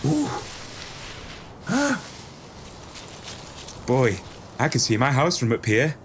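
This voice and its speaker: Over noticeable background ambience, a headstrong pantomime boy. The voice is low for a woman with very lively swings, brash, cheeky and excitable. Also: slow, background noise, exaggerated voice. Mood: excited. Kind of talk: audio pantomime acting.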